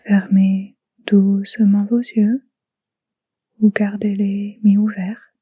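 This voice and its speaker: A woman guiding a relaxation meditation in a soft, hushed, soothing way, in short phrases with long pauses. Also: mid-range voice, flat delivery, slightly rough, no room sound, lo-fi sound. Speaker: yoga nidra guide.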